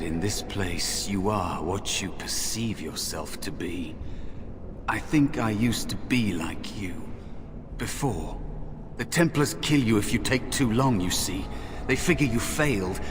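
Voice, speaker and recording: A wary spirit of a dead apprentice in a fantasy game, acted by a man, low and unhurried. He speaks with hushed, bitter weariness, drenched in long reverb over a noisy background. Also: close to an everyday voice. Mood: grim, resigned. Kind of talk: fantasy video game voice acting.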